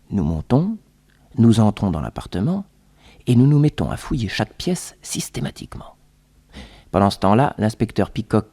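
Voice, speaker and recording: An actor narrating a French radio detective serial as a storyteller who takes part in the adventure. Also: male voice, fairly low pitch, mellow. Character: young male detective sidekick telling the adventure.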